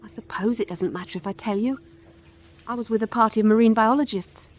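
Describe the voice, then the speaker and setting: Chatty young English woman played by an actress, high-voiced. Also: a little fast, natural voice. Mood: chatty, grumbling. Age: 20s. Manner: colloquial, artless, chatty. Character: chatty, plain-spoken young British survivor, twenty-three. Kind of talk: radio drama acting.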